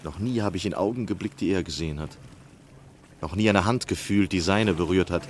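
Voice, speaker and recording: An actor playing an earnest, cunning literary critic with dramatically weighted phrasing in a 1960s German radio drama. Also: male voice, low-pitched, a little fast, lightly acted. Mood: awed, hopeful. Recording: faintly noisy 1960 radio recording.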